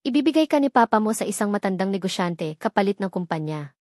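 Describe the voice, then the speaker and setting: A heartbroken young man begging softly, voice low and near a whisper, read by a woman narrator in the same plain tone. dry, close, no room at all. it's a storybook reading, the guy speaks carefully and sadly. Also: very animated, fast, dry recording. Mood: pleading. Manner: quiet, pleading, nearly whispering. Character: desperate young man pleading with his love.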